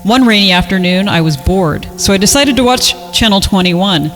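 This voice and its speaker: A man's voice: high-pitched, lively intonation, brisk, fairly bright tone, poor audio. A man reading a creepy story aloud for comedy, giggly and often breaking off to riff. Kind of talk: comedic read-aloud of a horror story with banter. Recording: music behind the voice. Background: music.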